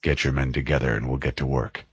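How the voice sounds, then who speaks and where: A man reading an audiobook, voicing a calm, imposing male armored supersoldier who speaks in a low, clipped way. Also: quick pace, breathy edge, dry recording.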